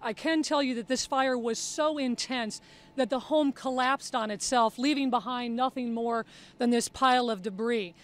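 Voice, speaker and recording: A woman's voice: fairly high pitch, normal speed. A woman with an American accent giving a live local television news report from the field. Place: outdoors at a rural scene.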